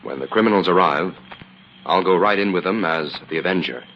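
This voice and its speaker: An actor playing a calm, confident, methodical crime-fighting hero in an old-time radio adventure. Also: male voice, deep voice, brisk, creaky. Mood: calm, resolute. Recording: muffled, noisy old radio recording.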